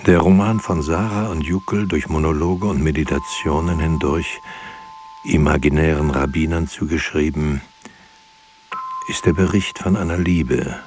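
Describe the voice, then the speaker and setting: An actor playing a solemn writer, narrating in a measured, meditative literary style for a radio drama, with hiss behind the voice. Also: male voice, rumbling low, steady tone. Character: solemn writer meditating on his own book.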